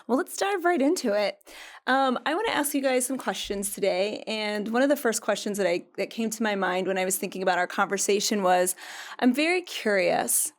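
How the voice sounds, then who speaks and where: A woman's voice: mid-range voice, animated, fairly quick. A woman working as a university recruiting director, hosting a student panel with friendly, encouraging questions. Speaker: director of recruiting, interview host.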